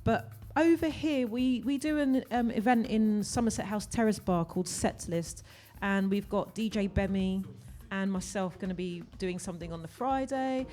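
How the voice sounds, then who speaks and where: A middle-aged woman talks as a guest DJ on radio. Her voice sits at an average pitch, and she chats in an informal, jokey way. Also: normal speed, mellow. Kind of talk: casual chat. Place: radio studio.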